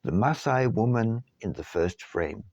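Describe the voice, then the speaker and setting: A man narrating an audiobook from a script in a measured, deliberate way with emphatic phrasing. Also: fairly low pitch, lively intonation, darkish tone, dry recording, dull audio. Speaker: author reading his own book.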